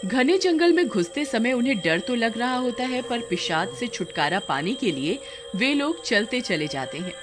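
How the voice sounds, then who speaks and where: A woman's voice: fairly high pitch, sing-song, normal speed, hardly any pauses. A woman narrating a horror-comedy story dramatically from a script. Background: background music.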